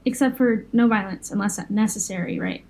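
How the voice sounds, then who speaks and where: A woman's voice: mid-range voice, fairly quick, natural voice. A woman playing an anxious, caring young fire breather in an audio drama, speaking hesitantly. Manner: hesitant, soft-hearted, worried. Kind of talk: naturalistic audio drama dialogue.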